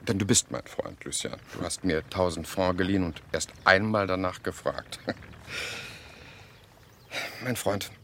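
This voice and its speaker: A worldly, scheming journalist in a radio play. His voice is deep and gravelly, and his delivery is theatrical, jovial and sardonic. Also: unhurried, long pauses, fairly bright tone, a little hiss or hum. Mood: confiding, wry. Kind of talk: radio drama acting.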